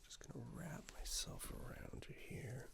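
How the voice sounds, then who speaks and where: A man doing a soft-spoken ASMR roleplay as a tailor, breathy and often whispered, slow and reassuring, close to a binaural microphone. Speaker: ASMR performer playing a tailor taking measurements.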